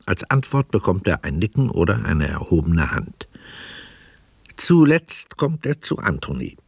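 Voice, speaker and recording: A man's voice: sits low, big pitch swings, measured pace, vocal fry, slightly rough, no room sound. A man reading scripted narration for a radio drama.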